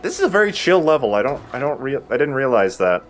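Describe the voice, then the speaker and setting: A man's voice: mid-range voice, sing-song, fast talker. A man giving casual, joking let's play commentary on a video game, swearing freely. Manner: profane, joking banter. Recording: game music behind. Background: video game music.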